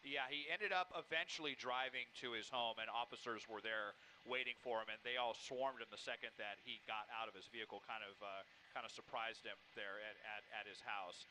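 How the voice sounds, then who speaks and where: A man working as a helicopter news reporter, giving halting, improvised live commentary on a police pursuit. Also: mid-range voice, fairly even intonation, pushed and bright, a little hiss or hum, low-quality recording.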